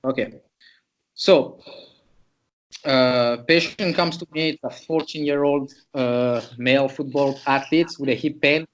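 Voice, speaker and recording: A man's voice: mid-range voice, steady tone, measured pace, muffled recording. A man from Switzerland, a chiropractor, presents a patient case to students in a loose, conversational way, thinking aloud as he goes.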